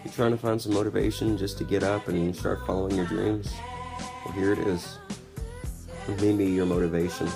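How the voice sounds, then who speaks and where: Male, 20s, low gravelly voice, blunt candid podcast monologue with music underneath. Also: level tone, normal speed. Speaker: podcast host. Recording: music playing behind the voice. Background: background music.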